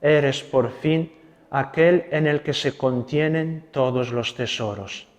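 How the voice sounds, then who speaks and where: A young man from Italy, a Carmelite friar with an Italian accent, giving a gentle spiritual talk and leading prayers. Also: medium pitch, in a large hall, muffled recording. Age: young adult. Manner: gentle, unhurried, adds tag questions.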